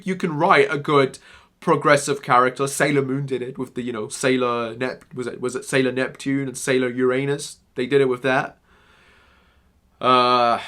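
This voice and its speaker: Young male commentator with a bright, tense voice and a rambling, emphatic delivery. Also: mid-range voice. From Germany-born Iranian, raised in England. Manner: rambling, repeats words, emphatic. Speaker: gaming commentator. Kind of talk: opinionated casual commentary.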